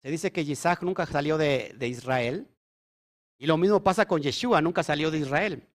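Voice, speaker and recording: Preacher, male, high-pitched, emphatic teaching style, keeps checking in with his audience. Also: normal speed. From Mexico. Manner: emphatic, asks the audience questions. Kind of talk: sermon teaching.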